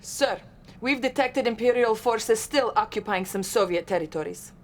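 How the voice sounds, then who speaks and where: A woman's voice: on the high side, moderate pace, a little hiss or hum, close to an everyday voice. A woman playing a loyal Soviet military intelligence officer, formally briefing in a video game. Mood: brisk, formal. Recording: music behind the voice.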